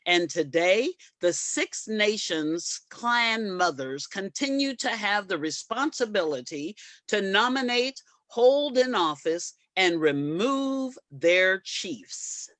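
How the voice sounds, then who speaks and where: A woman's voice: medium pitch, animated, slow, fairly bright tone, dry recording. A woman giving a scripted dramatic reading in a reader's theater production.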